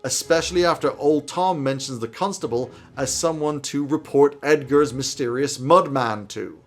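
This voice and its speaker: A man in his thirties giving conversational advice to camera in an emphatic, opinionated way, as a video host. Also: fairly high pitch. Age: 30s. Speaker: host of tabletop roleplaying video channel. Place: small indoor home office.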